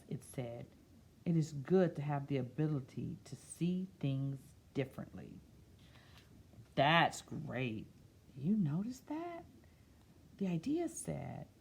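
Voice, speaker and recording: A woman reading a picture book aloud expressively, slipping into quieter, musing, conversational asides. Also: deep voice, gravelly, breathy edge. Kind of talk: expressive read-aloud with soft, chatty asides.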